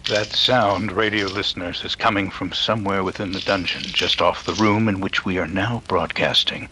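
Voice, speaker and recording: A man playing a shrewd, authoritative detective in a 1930s-style radio mystery, speaking with deliberate, accusing authority. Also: low voice, fairly even intonation, noisy background, natural voice. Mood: grave, deliberate. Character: shrewd, commanding male detective exposing a murderer.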